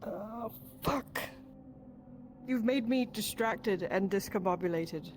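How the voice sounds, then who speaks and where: A woman's voice: medium pitch, takes it slowly, a little mellow, slight background noise, close to an everyday voice. A woman playing a brash, flustered, foul-mouthed fantasy assassin in improvised online roleplay, casual and exclaiming. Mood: distracted.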